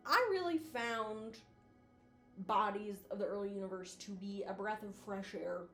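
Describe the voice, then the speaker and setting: A woman host talks through her commentary in a casual, slangy way, full of enthusiastic praise. Her voice sits at an average pitch. The room adds a noticeable echo, and music plays underneath. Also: measured pace, sharp tone. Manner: informal, slangy, effusive. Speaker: video essayist, host.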